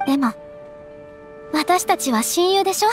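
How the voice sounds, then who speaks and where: A woman voicing a gentle, caring young noblewoman who speaks softly and politely in a game cutscene. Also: extremely high pitch, animated, moderate pace, soft background music. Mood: earnest. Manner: soft, polite, refined. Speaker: game character.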